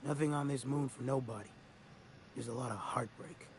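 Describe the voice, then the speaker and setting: A man's voice: mid-range voice, moderate pace, noisy background, close to an everyday voice. An actor playing a grizzled older male ex-mercenary in a game, talking in a folksy, rambling drawl. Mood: weary. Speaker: game character.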